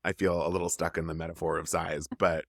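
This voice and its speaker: A man's voice: deep voice, level tone, fast talker, a bit bright, no echo, studio quality. A man hosting a podcast in casual interview chat, engaged and affirming as he thinks aloud. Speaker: podcast host.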